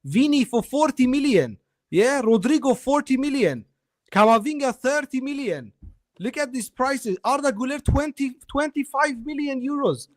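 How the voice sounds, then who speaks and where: A man's voice: extremely high pitch, animated, moderate pace, no echo. A man from Sweden debating football on a live online show, insistent and teasing as he brushes off the other side's points. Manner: insistent, teasing, laughs off challenges. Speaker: podcast co-host.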